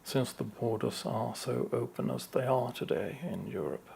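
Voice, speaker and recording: A man from Sweden answering audience questions at a conference, slow and hesitant with many fillers. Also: mid-range voice, vocal fry, mellow, a little hiss or hum. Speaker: conference speaker.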